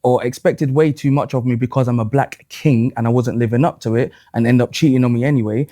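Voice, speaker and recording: A man's voice: mid-range voice, steady tone, fast talker, warm tone. A man, a guest on a panel debate, talking emphatically, often restarting and repeating himself. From England. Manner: emphatic, repeats himself, acts out quoted voices.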